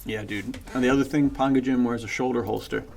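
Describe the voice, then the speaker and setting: A man's voice: medium pitch, steady tone, quick pace, gravelly, heavy background noise. A man chatting casually about books as a podcast co-host, in an enthusiastic, slangy and informal way. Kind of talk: casual book chat.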